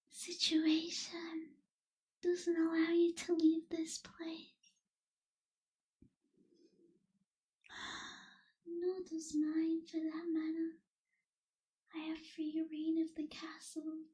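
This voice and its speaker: A woman playing a melancholy young vampire in an ASMR roleplay, speaking in a soft, breathy half-whisper with wistful, formal phrasing. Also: extremely high pitch, monotone, poor audio. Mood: sad, resigned. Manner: slow, wistful, hushed, formal wording. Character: melancholy young female vampire, gentle reluctant captor.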